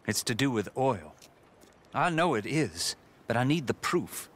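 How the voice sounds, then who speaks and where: Fussy hesitant gentleman. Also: mid-range voice, very animated, measured pace, a little hiss or hum. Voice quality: hesitant, genteel. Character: nervous, polite male activist, fussy and hesitant.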